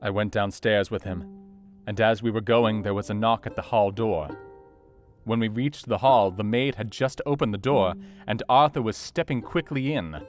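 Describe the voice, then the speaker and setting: Man acting low-voiced, sombre young doctor narrating. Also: normal speed, close to an everyday voice. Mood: intent. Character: grave, observant young doctor recounting his diary. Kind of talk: dramatised scripted narration. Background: soft guitar music.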